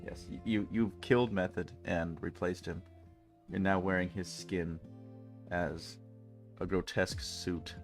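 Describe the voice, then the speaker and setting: A man's voice: sits low, steady tone, measured pace, soft background music. A man with a stuffy nose chatting casually and rambling jokily with his chat while streaming a game. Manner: rambling, jokey, talks to chat.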